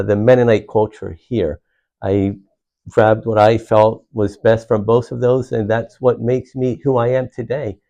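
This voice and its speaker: A man's voice: on the low side, mellow, dull audio. A middle-aged man from Puerto Rico, a county commissioner answering interview questions earnestly, often restarting his phrases with "uh". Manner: earnest, repeats words and restarts with many "uh"s.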